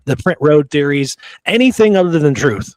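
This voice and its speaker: A man's voice: a little high, animated, moderate pace, dry recording. A man hosting a podcast, talking casually about history in an emphatic, opinionated, rambling storytelling way. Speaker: podcast host.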